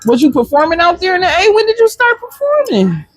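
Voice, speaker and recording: Playful high-voiced woman host. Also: wildly swooping pitch, a little fast. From Buffalo, New York. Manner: playful, joking, loud laughter, slangy. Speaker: interview host. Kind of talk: casual interview chat.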